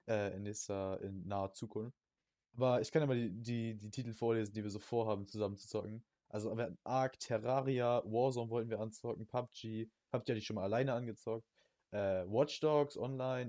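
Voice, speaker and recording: A young man co-hosting a podcast in casual chat, using youth slang and often halting to search for words. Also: mid-range voice, a little mellow, dry recording, studio quality. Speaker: podcast co-host.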